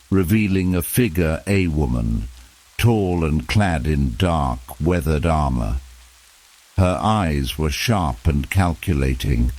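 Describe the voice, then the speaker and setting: A man narrating a bedtime fantasy story in a measured, deliberate storybook style. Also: very deep voice, darkish tone.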